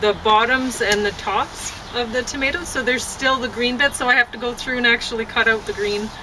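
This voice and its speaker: A woman chatting casually and haltingly, thinking aloud as she goes and often breaking off to rephrase. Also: fairly even intonation. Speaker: vlogger and amateur poet. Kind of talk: casual rambling chat to camera. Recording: noisy, distant mic, traffic-like rumble.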